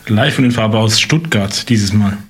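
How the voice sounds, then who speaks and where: A man co-hosting a podcast in a relaxed, conversational way. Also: fairly low pitch, moderate pace, husky. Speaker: podcast co-host. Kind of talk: casual podcast chat. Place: on site at a training course.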